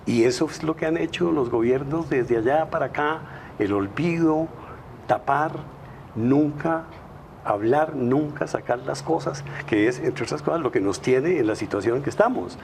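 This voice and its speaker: A man's voice: medium pitch, moderate pace, gravelly, darkish tone, slight background noise. A man, a novelist, speaking emphatically on a studio panel, stacking words in rhythmic series. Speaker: novelist guest.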